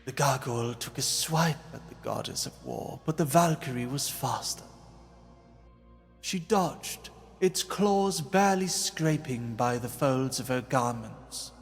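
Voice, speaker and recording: A man's voice: medium pitch, lively intonation, unhurried, vocal fry. A man narrating a fantasy tale in a dramatic, scripted style, solemn and portentous. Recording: long reverb, music behind.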